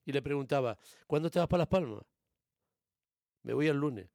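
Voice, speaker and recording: A man's voice: fairly high pitch, lively intonation, moderate pace, a little mellow, no echo, clean studio sound. A man from the Canary Islands with a local Spanish accent, chatting casually and opinionatedly in an explanatory, emphatic way, repeating phrases to drive a point home. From born in Tenerife, raised in Gran Canaria, Canary Islands.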